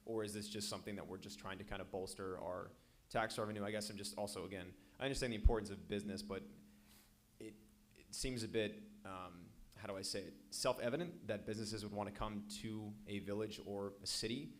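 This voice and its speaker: Deep fast-talking resident, loud voice. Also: male voice, fairly even intonation. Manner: loud, fast, questioning, self-deprecating. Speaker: resident at public comment. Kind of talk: public comment question. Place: council chamber.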